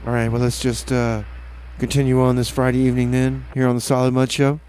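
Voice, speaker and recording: A man's voice: mid-range voice, monotone, fairly quick, darkish tone, slight background noise. Rambling man hosting community radio. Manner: rambling, hesitant, full of fillers and restarts. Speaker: radio DJ host. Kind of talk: casual radio DJ patter.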